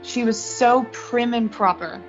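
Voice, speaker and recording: A woman's voice: a little high, measured pace, highs cut off, soft background music, lightly acted. A young woman playing an ambitious, blunt, easily rattled career woman in a 1940s-style suspense radio play. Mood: confiding, tense. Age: young adult.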